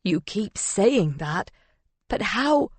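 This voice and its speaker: A woman's voice: mid-range voice, very animated, extremely slow, breathy edge, no room sound, exaggerated voice. A woman voicing an anguished, angry man, a grief-stricken husband who hisses, moans and cries out his accusations in an audiobook. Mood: angry, disbelieving. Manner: hissing, moaning, crying out.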